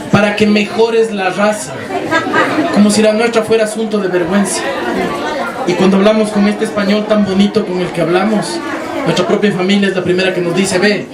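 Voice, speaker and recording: A high-pitched man from Ecuador, speaking with an Ecuadorian highland accent, tells stories to a live audience in a big hall, pausing for effect and acting out the lines he quotes. The mic is set well back, so his voice echoes around the room, and the crowd is loud behind him. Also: far from the mic. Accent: Ecuadorian highland Spanish. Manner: dramatic pauses and acted-out quotes. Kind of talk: impassioned storytelling talk.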